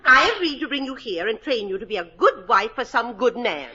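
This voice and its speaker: A bossy middle-aged guardian in a vintage radio play, a bright, high voice that swings up and down as she barks out stern refusals and stumbles over her excuses. The broadcast sound is dull and lo-fi. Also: animated, a little fast, husky, exaggerated voice. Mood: flustered. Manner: emphatic refusals, comic stammering. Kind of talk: 1940s radio acting.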